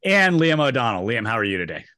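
A male podcast host with a bright, forceful voice who runs the intros briskly and in an orderly way. The sound is close and dry. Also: medium pitch, very animated, dry recording. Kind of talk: casual podcast chat.

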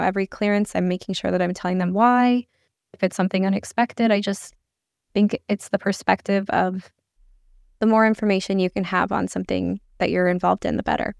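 A woman's voice: mid-range voice, vocal fry, a little mellow, no room sound, clean studio sound. A woman giving candid, informal explanations as an interview guest. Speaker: podcast guest, air traffic controller and pilot. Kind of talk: conversational interview answers.

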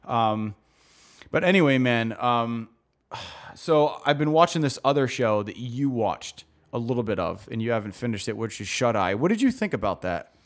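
A man co-hosting a casual talk show, giving relaxed opinions with many fillers. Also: mid-range voice, moderate pace. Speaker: podcast co-host. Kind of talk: casual chat.

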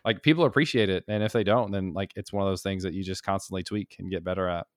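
A man's voice: low voice, fast talker, dry recording, studio quality. A man appearing as a podcast guest, chatting enthusiastically and saying "like" a lot. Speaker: guest, developer and YouTuber. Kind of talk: casual podcast conversation.

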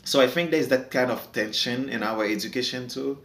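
A man's voice: medium pitch, normal speed, a bit bright, echoey room. A man from Haiti with a Haitian accent, a native Creole speaker, talking earnestly as a podcast guest and often repeating words as he searches for them. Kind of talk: casual discussion.

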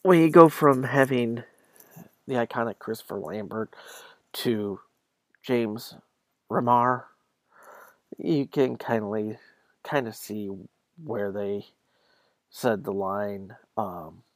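A man's voice: fairly low pitch, slow pace, pauses often, no echo. A man hosting a solo podcast, talking casually and conversationally straight to his listeners. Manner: conversational, addresses listeners directly. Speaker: podcast host.

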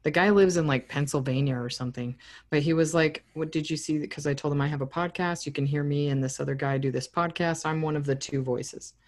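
An American woman with a deeper voice, co-hosting a podcast in casual, emphatic chat, swearing freely. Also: fairly even intonation, brisk. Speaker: podcast co-host.

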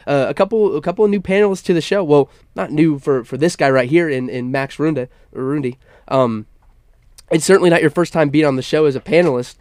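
A man's voice: fairly high pitch, brisk, darkish tone, dry recording. Young guy hosting campus sports radio, bit stuffy, upbeat, keeps restarting his sentences, dry close studio sound. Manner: upbeat broadcast patter with frequent stammered restarts. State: stuffy nose. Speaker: college radio sports talk host.

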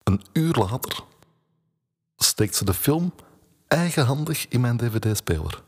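A man's voice: medium pitch, expressive, a little slow, a little mellow, slightly rough. An actor playing a brooding, laconic Flemish man in his thirties, narrating an intimate audio drama in terse, understated phrases. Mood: wistful, restrained. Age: 30s. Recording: music bed under the voice. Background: music.

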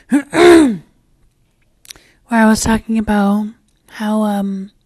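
A woman hosting her own podcast in a casual, rambling solo chat, her voice raspy and hoarse as she loses it, with plenty of fillers and restarts. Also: fairly high pitch, dark tone, no echo. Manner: swears casually. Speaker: podcast host.

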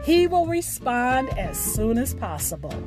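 Female podcast host, higher register, very unhurried, deliberate delivery of scripted announcements and verse, music underneath. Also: sing-song. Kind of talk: scripted podcast outro with spoken poetry.

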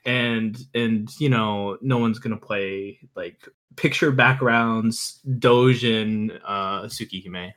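A man chatting casually as a podcast guest and visual novel fan, halting and rambling with a jokey streak. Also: on the low side, a bit bright.

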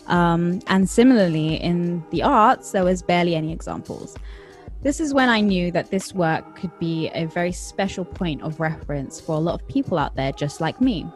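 A woman with a London accent hosting a podcast interview, speaking in a measured, thoughtful way with some hesitant fillers. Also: on the low side, animated, faint music behind. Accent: London English. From England. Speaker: podcast host.

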